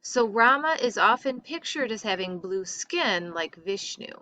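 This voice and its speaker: A woman reading a lesson aloud as a teacher, in a careful and deliberate manner. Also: medium pitch, animated, sharp tone, no echo. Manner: careful, deliberate reading, stumbles over foreign names. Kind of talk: read-aloud lesson narration.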